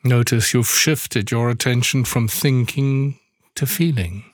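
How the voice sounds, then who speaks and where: A man leading a guided yoga nidra meditation, giving soothing instructions slowly with long pauses. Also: medium pitch, no room sound, clean studio sound.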